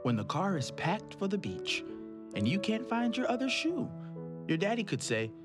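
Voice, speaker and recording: A man reading a children's picture book aloud in an expressive storytelling manner. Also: high voice. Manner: slow, lively storytelling voice. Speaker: children's read-aloud host. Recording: loud guitar music behind.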